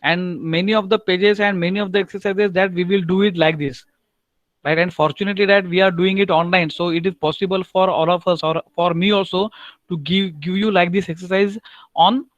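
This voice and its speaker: A man with a Marathi-accented Indian English voice, teaching German in an online lecture, often repeating himself, asking "right?" and drawing out German words slowly. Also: high voice, fairly even intonation, fairly bright tone, no room sound. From Maharashtra, India.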